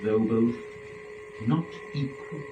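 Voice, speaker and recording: A man reciting and narrating epic poetry in a measured, dramatic manner with weighty pauses between phrases. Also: mid-range voice, muffled recording, noisy background. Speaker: performer and narrator of a poetry reading. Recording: roomy live recording, distant from microphone.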